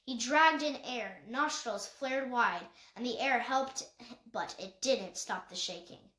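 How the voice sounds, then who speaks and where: Female story reader, higher pitch, occasional slips, roomy echo. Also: takes it slowly, a bit bright. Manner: occasional stumbles and self-corrections while reading. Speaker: audiobook reader. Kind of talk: scripted narration, reading a children's novel aloud. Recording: echoey untreated room.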